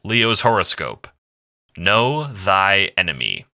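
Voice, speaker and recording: A man's voice: low voice, very animated, slow pace, heavily acted. A man playing an ominous, solemn horoscope reader in an audio drama.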